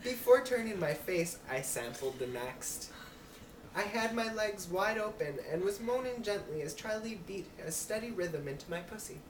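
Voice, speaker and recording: A woman's voice: medium pitch, lively intonation, normal speed. A woman reading a story aloud as an amateur, with a giggly manner. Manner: giggly, breaking into laughs while reading. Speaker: amateur reader. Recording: roomy, distant mic with clear noise.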